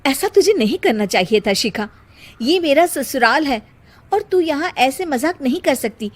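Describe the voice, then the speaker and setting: A woman voicing a cautious young married elder sister who talks in a gentle, scolding way in a cartoon story. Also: high voice, lively intonation, normal speed, pitched above the performer's own voice.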